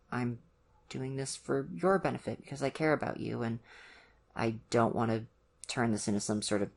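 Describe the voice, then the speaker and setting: ASMR roleplay boyfriend, played by an actor: college-age, awkward and earnest, gentle, halting delivery, medium pitch. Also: vocal fry, natural voice. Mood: nervous, caring. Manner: hesitant, gentle, awkward, many ums and pauses. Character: ditzy, awkward, earnest young college boyfriend, caring and nervous. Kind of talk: ASMR roleplay, one-sided conversation with the listener.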